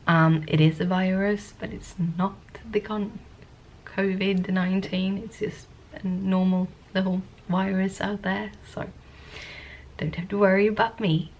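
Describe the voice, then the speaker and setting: A woman vlogger with a sniffly cold, chatting to the camera in a soft-spoken, rambling, hesitant way. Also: mid-range voice, steady tone, measured pace. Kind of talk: casual talking-to-camera vlog.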